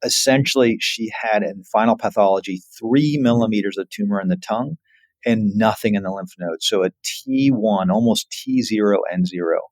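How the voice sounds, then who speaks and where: Low man explaining, close dry sound. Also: moderate pace, darkish tone, no echo, rough recording. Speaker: surgeon, guest expert. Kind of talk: explanatory interview answer.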